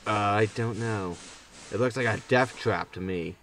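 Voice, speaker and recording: A man's voice: on the low side, unhurried, fairly bright tone, distant mic, a little hiss or hum, poor audio. An actor playing a grumpy, sarcastic male spaceship captain in a sci-fi comedy audio drama, with a dry manner. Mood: skeptical, sarcastic. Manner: dry, sardonic.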